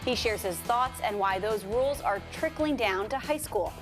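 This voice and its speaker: A woman's voice: fairly high pitch, expressive, normal speed, over music. A woman reading scripted sports news as a broadcast anchor.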